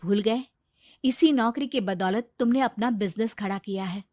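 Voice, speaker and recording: Woman acting firm, high-voiced wronged wife. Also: moderate pace, darkish tone, dry recording, clean studio sound, natural voice. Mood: indignant. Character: self-reliant, strong-willed wife standing up to her husband. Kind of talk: Hindi cartoon story dialogue.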